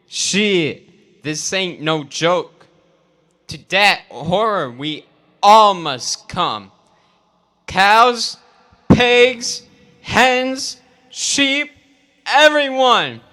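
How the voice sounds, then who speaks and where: A man voicing an old boar who preaches revolution to farm animals in a theatrical, slangy storybook parody, with dramatic pauses. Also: high voice, expressive, pushed and bright, pitched above the performer's own voice, heavily acted. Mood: rousing, fervent. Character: old boar pig orator, rousing revolutionary farm leader. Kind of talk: theatrical audiobook reading in slangy parody speech.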